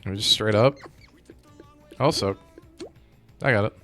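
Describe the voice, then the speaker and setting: A man's voice: fairly low pitch, takes it slowly, bright, forceful tone. A man giving casual gameplay commentary as a streamer, with game music behind him.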